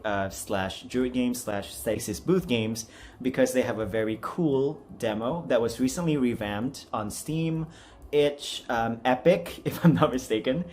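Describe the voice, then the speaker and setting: A man's voice: mid-range voice, a little mellow, slight background noise. A man chatting casually and unscripted as a video host, rambling in an apologetic, self-deprecating way. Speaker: amateur voice actor and video host. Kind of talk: casual unscripted vlog chat.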